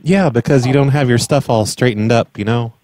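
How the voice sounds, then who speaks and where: Deadpan, mellow-voiced man on a prank call. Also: mid-range voice, a little fast. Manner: hesitant, rambling, deadpan. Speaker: prank caller and podcast host. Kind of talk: prank phone call posing as an earnest caller.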